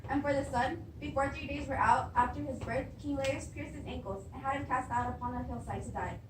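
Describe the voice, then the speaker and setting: Reassuring yet anxious tragic queen, played by a young student actress, stage readers theatre, far from the mic over clear noise. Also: high-pitched, brisk, close to an everyday voice. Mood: confident, reassuring. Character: pleading, reassuring queen, anxious wife of the king. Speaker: student actor. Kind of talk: readers theatre stage acting of Greek tragedy. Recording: distant echoey room with clear background noise.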